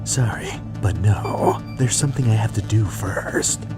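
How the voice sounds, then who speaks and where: A man voicing a stern, battle-hardened soldier with a gravelly growl and terse delivery, as in a video game dub. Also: lowish voice, unhurried, voice pushed down, lightly acted. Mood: stern, guarded. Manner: terse, interrogating, deadpan. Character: gruff, stern, battle-hardened male soldier, a lone operative. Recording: loud music underneath. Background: loud music.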